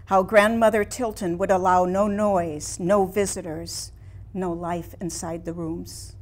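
An actress in her sixties gives us an ailing, melancholy woman painter reflecting on her life. She speaks very slowly in a medium-pitched voice, leaving long pauses. The delivery is measured and theatrical, like a monologue read on stage. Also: darkish tone, natural voice. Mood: somber. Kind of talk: staged play reading, dramatic monologue. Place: small indoor room before a seated audience.

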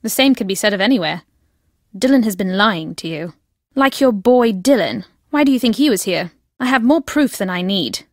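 A woman playing a secretive, fervent guardian of her family's destiny in a video game, speaking solemnly and deliberately. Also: mid-range voice, expressive, dry recording, clean studio sound, close to an everyday voice. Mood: guarded, firm.

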